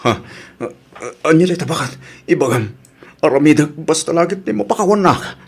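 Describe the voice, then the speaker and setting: Husky-voiced, pleading husband. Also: male voice, a little high, animated, brisk, exaggerated voice. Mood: pleading, apologetic. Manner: pleading, hesitant, stammering. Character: remorseful, pleading husband and troubled grown son. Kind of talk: radio drama acting.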